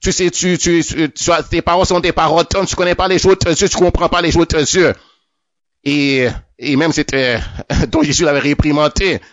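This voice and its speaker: A man's voice: fairly high pitch, fairly even intonation, normal speed, fairly bright tone, no echo. A man giving a spoken religious testimony, often stammering over and repeating his words as he goes. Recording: dull lo-fi, dry.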